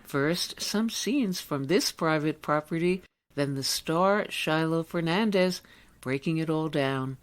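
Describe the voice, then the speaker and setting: Low-voiced woman hosting a radio show. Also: slow. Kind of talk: scripted narration.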